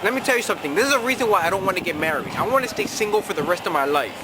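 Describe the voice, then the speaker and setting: Young man, tipsy advice vlogger, profane and brash, higher voice, outdoors with traffic noise. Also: animated, very fast. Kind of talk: casual advice vlog, reading viewer letters. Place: outdoors in a city at night.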